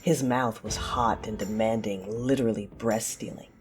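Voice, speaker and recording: A woman narrating an audio drama in the first person, with a deliberate, theatrical delivery. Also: rumbling low, steady tone, soft background music.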